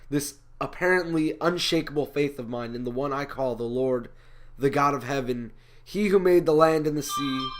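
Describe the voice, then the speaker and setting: A man's voice: on the high side, fairly bright tone, lightly acted. A man performing a comic stage monologue as an indignant, self-justifying prophet, with emphatic, declamatory delivery. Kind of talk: rehearsed comic speech monologue.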